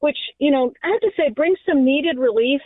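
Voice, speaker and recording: A woman's voice: high-pitched, lively intonation, fairly quick, dry recording. Woman commentator on a phone line. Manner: hesitant, many fillers. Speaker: political commentator. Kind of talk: radio panel discussion. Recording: phone-line guest, dry.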